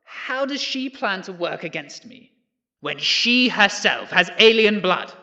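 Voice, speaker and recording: An actor playing a smug, bullying Prime Minister in an audio drama, mocking, theatrical and condescending. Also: male voice, sits high, animated, a little slow, bright, forceful tone, dull audio, full character voice.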